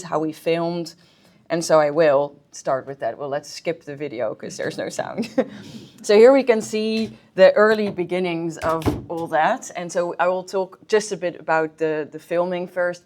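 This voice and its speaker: A woman's voice: deep voice, darkish tone. A woman with a Dutch accent giving a friendly, chatty history talk to a library audience as a guest historian. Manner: friendly, chatty, with filler ums and restarts. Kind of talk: public history talk with slides. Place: public library meeting room.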